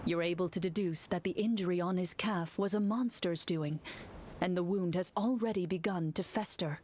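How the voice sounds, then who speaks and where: A woman narrating a video game in a measured, steady storytelling manner, heard as game audio under a stream. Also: on the low side, a little hiss or hum, lightly acted. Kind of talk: scripted narration.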